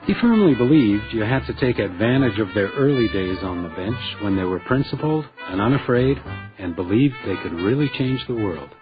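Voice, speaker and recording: A wry, easygoing hotel detective , played by a man, narrates a radio mystery in a low voice. The sound is slightly dull, with guitar and string music clearly behind him. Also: lively intonation, normal speed, close to an everyday voice. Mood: wry, measured. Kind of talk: first-person narration of a radio detective drama.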